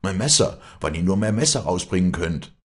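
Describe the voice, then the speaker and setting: A man's voice: low-pitched, expressive, normal speed. A man playing a brash, stubborn, commanding hunter with a Bavarian-Austrian Alpine accent, curt and challenging, in an audiobook. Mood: strained fury. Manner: curt, challenging, gruff dialect. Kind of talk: dramatic audiobook character dialogue.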